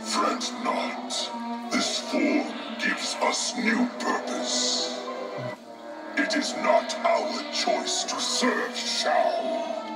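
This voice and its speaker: An actor voicing a solemn construct of many dead souls in a fighting game, speaking formally as "we" through layered ghostly processing. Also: male voice, extremely slow, distant mic. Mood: solemn, defiant. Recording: echoing game audio under loud music.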